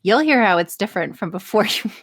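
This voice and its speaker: A woman's voice: medium pitch, fast, no room sound. A woman with a mid-range voice talks casually as a podcast guest. She is blunt and self-deprecating and swears freely. The sound is dry, with very little room in it. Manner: sweary, blunt, self-deprecating, animated storytelling with acted-out asides. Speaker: podcast guest, audio voice performer. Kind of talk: casual interview chat.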